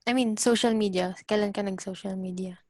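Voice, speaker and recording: A woman's voice: mid-range voice, fairly even intonation, brisk, no room sound. A nineteen-year-old woman from the Philippines hosting a casual podcast chat, hesitant and giggly, switching between English and Filipino. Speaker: podcast host.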